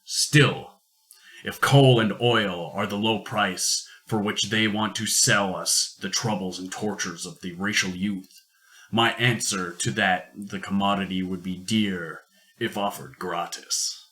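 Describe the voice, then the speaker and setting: A man playing a rich, sardonic industrialist in a radio play, measured, formal and a little pompous. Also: fairly low pitch, steady tone, sharp tone. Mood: assured, sardonic. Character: rich, worldly, sardonic industrialist, booming and indulgent. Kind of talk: amateur radio play acting.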